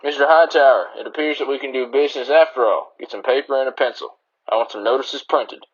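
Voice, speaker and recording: Guy playing old west marshal, american, bossy and clipped, medium pitch, radio drama. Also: fast, slightly rough, lo-fi sound, natural voice. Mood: authoritative. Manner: authoritative, clipped orders. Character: commanding, brusque frontier marshal. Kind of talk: old-time radio western acting.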